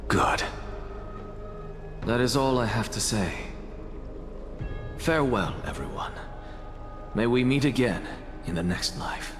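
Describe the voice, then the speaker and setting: Proud theatrical scholar, lively swooping delivery. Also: male voice, medium pitch, slow pace, long pauses. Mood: calm farewell. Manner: lecturing, grandiose, theatrical. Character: proud, brilliant scholar man, theatrical and self-assured. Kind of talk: dramatic video game voice acting. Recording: long reverb, music behind. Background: music.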